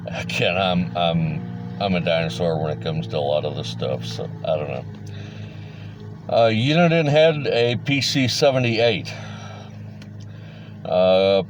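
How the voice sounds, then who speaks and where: Middle-aged man, low pitch, plain-spoken, hesitant, informal hobbyist rundown, noisy rumbling background. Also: darkish tone. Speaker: radio hobbyist host.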